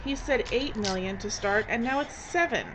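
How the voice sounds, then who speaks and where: An actress playing a dry, bossy documentary director who is wary and sharp in an audio drama. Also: female voice, fairly high pitch, normal speed, background noise, lightly acted. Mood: wry, suspicious.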